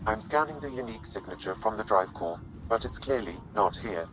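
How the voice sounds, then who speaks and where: Low, precise AI companion, voiced by a man. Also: animated, natural voice. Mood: calm, clinical. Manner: measured, formal, matter-of-fact. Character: calm, precise male artificial intelligence companion. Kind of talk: video game voice acting. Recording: game ambience and vehicle noise behind.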